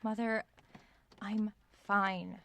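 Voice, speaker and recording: Brittle young woman with creaky voice. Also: medium pitch, little pitch movement, extremely slow, a bit bright, heavily acted. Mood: irritated, defensive. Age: young adult. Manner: clipped, strained replies to her mother. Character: brittle, defensive young woman novelist researching a haunted house. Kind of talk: horror audio drama acting.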